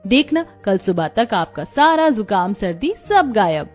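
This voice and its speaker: A humble young daughter-in-law from a cartoon family story, acted by a woman. She speaks earnestly and respectfully at a middling pitch, eager to please, over music. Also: sing-song, unhurried. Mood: earnest, dutiful. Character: humble devoted young village daughter-in-law, sweet and dutiful. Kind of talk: Hindi moral cartoon story dialogue.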